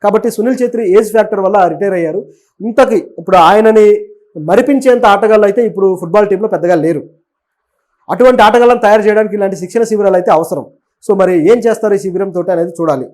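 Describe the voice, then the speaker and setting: Fast, high-pitched man presenting news. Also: darkish tone. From Telugu states of southern India. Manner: explanatory, opinionated, mixes in English words. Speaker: web news presenter and commentator. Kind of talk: conversational sports and political news commentary.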